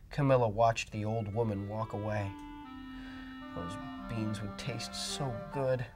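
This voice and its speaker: A middle-aged man reading a children's storybook aloud, animated and dramatic. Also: fairly low pitch. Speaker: storybook reader. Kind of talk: expressive storybook read-aloud.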